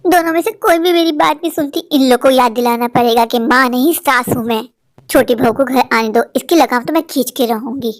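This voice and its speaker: A woman's voice: high-pitched, brisk. A woman voicing a bossy, self-pitying older mother-in-law who scolds and complains in a comic family skit. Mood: grumbling. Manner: scolding, complaining, shouting for her daughters-in-law.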